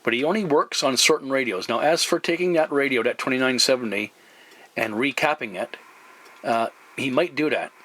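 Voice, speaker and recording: A man with a sharp, bright voice and medium pitch talks as a hobby radio operator. He explains things casually and haltingly, in the turn-taking manner of a CB exchange. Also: poor audio. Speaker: amateur CB radio operator and video host.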